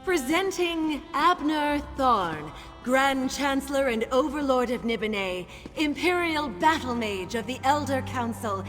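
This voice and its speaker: A woman's voice: high voice, lively intonation, unhurried, fairly bright tone. A woman playing a formal court herald in a fantasy video game, proclaiming ceremonially. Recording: echoey hall with music behind.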